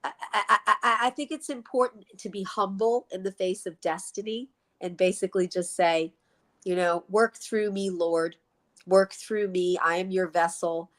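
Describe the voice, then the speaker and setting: A woman's voice: mid-range voice, steady tone, moderate pace. A woman in her sixties from the Philadelphia Main Line, co-hosting a casual live chat, speaking reflectively, laughing often and repeating words while she thinks. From Philadelphia Main Line, USA. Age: 60s. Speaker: co-host.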